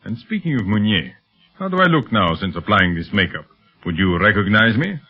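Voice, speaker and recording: An actor playing a smug, cold criminal mastermind with imperious, formal speech in a 1930s radio serial. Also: male voice, lowish voice, big pitch swings, brisk, husky, faint music behind, exaggerated voice. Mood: scheming, assured. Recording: muffled, lo-fi 1930s radio recording.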